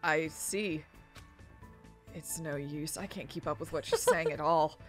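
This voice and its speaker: A woman's voice: deep voice, unhurried, faint music behind, voice pushed down. A woman voicing a modest, hesitant and baffled teenage boy in a visual novel game. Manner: plain, hesitant, understated.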